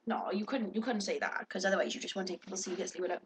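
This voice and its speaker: A woman's voice: mid-range voice, racing, background noise. A young woman chatting casually to her webcam, swearing freely and talking to viewers. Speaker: vlogger.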